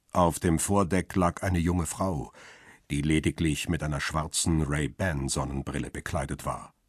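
Weary paparazzo around fifty, reflective crime-drama narrator. Deep voice, deliberate delivery, very dry close studio sound. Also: male voice, husky, no echo, heavily acted. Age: middle-aged. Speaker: first-person narrator. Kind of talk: crime radio play narration.

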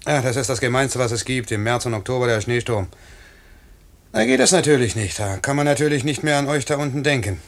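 A man's voice: mid-range voice, a little fast, close to an everyday voice. A man playing a cheerful, teasing pilot in a 1950s German radio play, speaking in a breezy, colloquial way. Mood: tender, teasing. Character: cheerful, teasing night-mail pilot, tender with his young wife.

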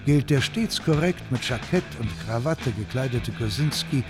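A man reading scripted documentary narration in a measured, scene-setting manner. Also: medium pitch, animated. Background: guitar music.

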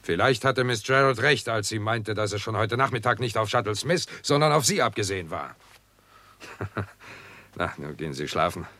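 Investigator, brisk, shrewd, wry, emphatic, highly varied intonation, 1960s German radio crime play. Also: male voice, expressive, pushed and bright.

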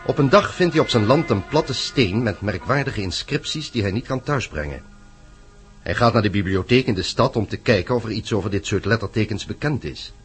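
A man's voice: fairly low pitch, animated, a little fast, natural voice. A man reading scripted narration as the storyteller of a radio drama. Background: music.

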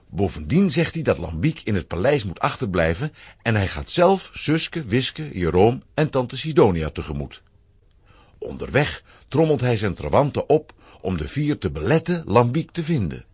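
A man narrating a scripted children's audio play as an expressive storyteller. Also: lowish voice, normal speed, slightly rough, dry recording.

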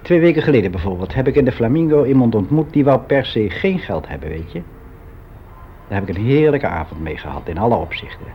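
An actor playing a frank, wistful clerk of about sixty in a radio drama monologue, speaking in a musing, halting, confiding way. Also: male voice, mid-range voice, very animated, slight background noise. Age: older, about sixty. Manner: musing, halting, candid, with drawn-out phrases. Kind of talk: confessional radio drama monologue, conversational and hesitant. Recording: dull lo-fi radio play recording.

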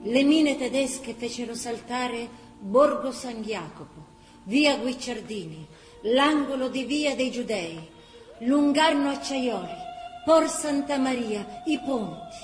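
An actress playing a grave storyteller recalling a night of war in a scripted radio drama. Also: female voice, on the high side, animated, slow pace. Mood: grave, elegiac. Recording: reverberant echoey hall, music behind.

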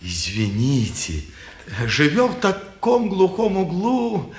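An actor playing a grumbling but obliging watchmaker shopkeeper in a 1950s Russian stage play. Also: male voice, sits high, lively intonation, takes it slowly, heavily acted. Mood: gruff, obliging. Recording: roomy old stage-play recording.

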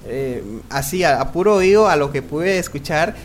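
Radio host, man, somewhat high voice, casual interviewing with hesitant phrasing. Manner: halting, searching for words. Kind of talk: conversational interview.

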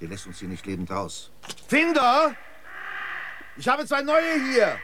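Radio play role: seasoned, sardonic guide, knowing and laconic, very varied intonation, clear noise behind. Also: male voice, very high voice, wildly swooping pitch, measured pace, heavily acted. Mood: calling out. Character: cynical, seasoned male guide, slingshot-proud street survivor. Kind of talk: German radio play acting.

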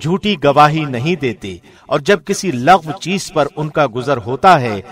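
Male scripture translation reader, somewhat high voice, formal, solemn, measured radio-presenter phrasing. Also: expressive, a bit bright.

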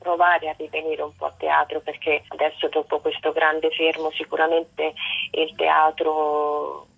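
A woman's voice: low-pitched, completely flat delivery, unhurried, vocal fry, breathy edge. Woman on a muffled, narrow phone line. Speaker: stage author and actress, interview guest. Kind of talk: radio interview, promoting own show. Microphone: phone call.

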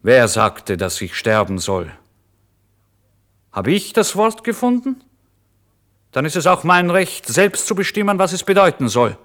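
A man acting a starving, feverish, proud narrator near madness in a dramatic radio play monologue, intense and theatrical, swinging between hush and outcry. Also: on the low side, vocal fry, exaggerated voice. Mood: defiant, frantic.

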